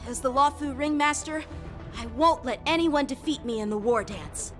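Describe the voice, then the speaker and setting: A woman's voice: sits high, moderate pace, soft background music, natural voice. A woman playing an earnest, determined young ringmaster in a video game, speaking respectfully.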